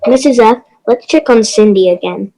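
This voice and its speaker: A woman reading a friendly, helpful neighbor in a fairy-tale readers theater, gentle and encouraging. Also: fairly high pitch, normal speed, low-quality recording. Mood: chatty.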